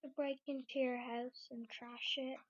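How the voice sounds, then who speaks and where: A woman's voice: sits high, little pitch movement, unhurried, lo-fi sound. A woman acting a frightened, apologetic young intruder in an amateur radio drama. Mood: scared, apologetic. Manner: meek, apologetic.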